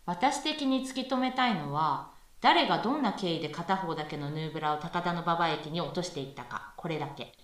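Woman acting a lively student, echoey and distant. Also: lowish voice, a little fast. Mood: playful, insistent. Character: playful, pushy young female university student. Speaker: voice actress in amateur radio drama. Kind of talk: conversational radio drama dialogue. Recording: echoey room, far from mic.

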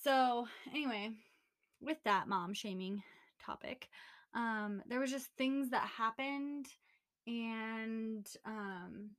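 A young woman in her twenties with a bright, lively tone and a slightly high voice, co-hosting a relaxed chat. She tells personal stories candidly and rambles, with plenty of fillers along the way. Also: slow pace. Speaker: podcast co-host. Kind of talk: casual chat.

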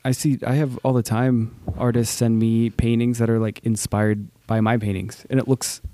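A man's voice: mid-range voice, little pitch movement, normal speed, mellow, slight background noise. A man in his thirties, a painter, talking earnestly in a casual chat with many fillers. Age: 30s. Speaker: painter guest.

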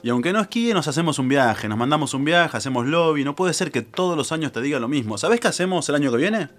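Pushy, fast-talking Argentine bachelor buddy, played by an actor. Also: male voice, on the high side, animated, lightly acted. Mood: egging on. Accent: Rioplatense Argentine. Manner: insistent, breezy. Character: pushy, carefree bachelor buddy, cheerfully scheming. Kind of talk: naturalistic audio drama acting.

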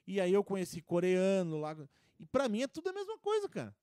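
Podcast guest, man, rambling anecdotes, slightly high voice, dry sound. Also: wildly swooping pitch, fairly quick, dark tone, clear, resonant tone, dry recording. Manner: rambling storyteller with many restarts and fillers. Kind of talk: casual chat.

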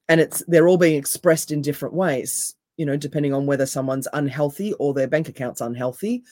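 A woman with a deep, raspy voice, speaking as a coach in an interview in an emphatic, animated way. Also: dry recording, clean studio sound. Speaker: NLP coach and hypnotherapist, guest. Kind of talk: casual interview chat.

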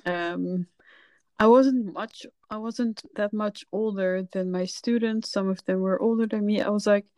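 A woman's voice: mid-range voice, fairly even intonation, a little slow, darkish tone, clear, resonant tone, no echo. A woman in her thirties from the Netherlands, a filmmaker guest telling her story candidly and reflectively in a casual podcast chat.

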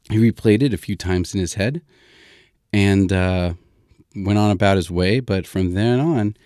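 A man hosting a story podcast, improvising in a casual, informal and halting way as he thinks aloud. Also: on the low side, a little mellow, no echo, studio quality.

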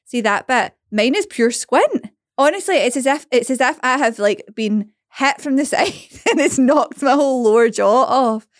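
A woman's voice: high-pitched, moderate pace, no room sound, studio quality. A young woman co-hosting a podcast, chatting casually, swearing and laughing as she tells stories. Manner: swears, laughs often, animated storytelling. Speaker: podcast co-host. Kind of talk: casual chat.

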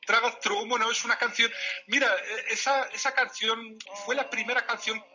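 A man's voice: very high voice, little pitch movement, normal speed, sharp tone, soft background music, low-quality recording. A Moroccan man whose first language is Amazigh, a novelist answering interview questions thoughtfully, with restarts and a habit of adding "¿eh?". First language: Amazigh (Riffian). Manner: thoughtful, hesitant with restarts, frequent "¿eh?" tags. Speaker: novelist guest. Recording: radio interview.

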